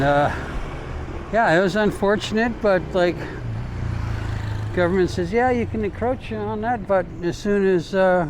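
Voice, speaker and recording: A man chatting casually in a rambling, folksy, joking way as a travel vlogger walking along. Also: fairly high pitch. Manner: folksy, joking, informal mild swearing. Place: outdoors, walking along streets. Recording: outdoor street noise, passing vehicles.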